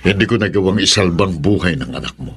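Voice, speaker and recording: An actor with a Filipino accent and husky voice playing a sober, resigned man in a radio drama. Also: low-pitched, expressive, fast, heavily acted. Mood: grave, consoling.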